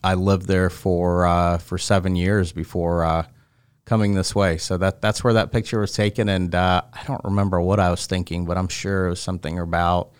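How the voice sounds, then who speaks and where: Male guest, 30s, deep and resonant, easygoing storyteller, dry studio sound. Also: normal speed, clean tone, no room sound. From Russia. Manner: explains at length in a relaxed, conversational way. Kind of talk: casual interview conversation.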